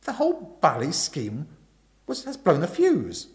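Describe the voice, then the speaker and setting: Man acting young upper-class gentleman, excitable, exclamatory, old-fashioned slang, raised pitch, storybook reading. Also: a little slow, heavily acted. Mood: incredulous.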